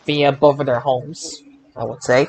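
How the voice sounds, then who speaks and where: A man hosting a casual group discussion about sport, in a halting way with repeated words. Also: mid-range voice, steady tone, a little mellow, rough recording. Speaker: podcast host.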